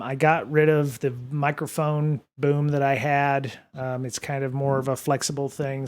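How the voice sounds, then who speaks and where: A man's voice: fairly high pitch, a little mellow. A man hosting a casual livestream from his home studio, rambling with lots of fillers and restarts while answering viewer comments. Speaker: podcast and livestream host. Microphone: studio microphone at desk.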